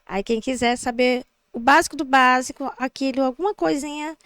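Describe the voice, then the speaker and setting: A middle-aged woman, an English teacher chatting as a podcast guest, telling stories in a lively, teasing way. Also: high-pitched, normal speed. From Teresina, Brazil. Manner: animated storyteller, teasing. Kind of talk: casual podcast chat. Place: studio.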